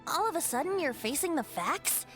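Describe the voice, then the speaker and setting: A playful boyish bard spirit in a game, voiced by a woman, light and teasing. The voice sits high and swings widely, lilting through each line. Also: very animated, moderate pace, over music.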